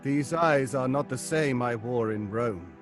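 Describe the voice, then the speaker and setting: A man's voice: mid-range voice, unhurried, soft background music, close to an everyday voice. A man acting as a nervous, placating theatre actor in a horror audio drama, theatrical and hesitant. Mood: declaiming. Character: nervous, gossipy male stage actor, eager to placate.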